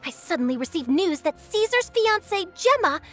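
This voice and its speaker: A woman playing an eager young female news reporter in a video game, brisk and nosy with rapid questions. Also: extremely high pitch, big pitch swings, fairly bright tone, full character voice. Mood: insistent. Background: game music.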